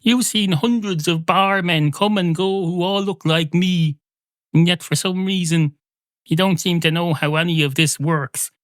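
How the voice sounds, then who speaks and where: A man voicing a flustered, tetchy barman resigned to being a copy, rambling haltingly in a comic podcast story. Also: high-pitched, fairly even intonation, no room sound, studio quality, voice pushed up. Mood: exasperated.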